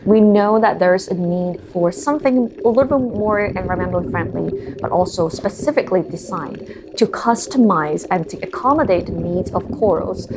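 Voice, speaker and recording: A woman in her thirties from Hong Kong, speaking English with a Hong Kong accent, who talks about her work as a scientist and founder in a measured, thoughtful documentary interview with frequent ums and uhs. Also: on the low side, noisy background. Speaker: marine scientist and company founder.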